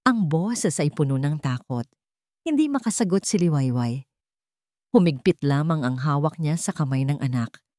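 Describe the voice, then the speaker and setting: Low-voiced woman narrating a dramatic story. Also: animated, moderate pace, mellow, no echo, clean studio sound.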